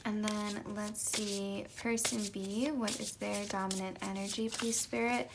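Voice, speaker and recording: A young woman doing a casual tarot reading. She has just woken up and her voice is slightly raspy, and she talks in a chatty way with soft whispered asides. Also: mid-range voice, flat delivery, measured pace, very noisy. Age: young adult. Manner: chatty, light laughs, soft whispered card calls. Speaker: tarot reader.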